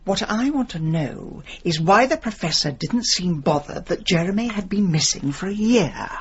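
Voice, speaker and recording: An actress with an English accent playing a brusque, sardonic middle-aged amateur sleuth in a radio mystery. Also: female voice, lowish voice, animated, normal speed, husky, dull audio, heavily acted. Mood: blunt, probing. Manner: dry, blunt, sardonic. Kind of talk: radio comedy-mystery acting.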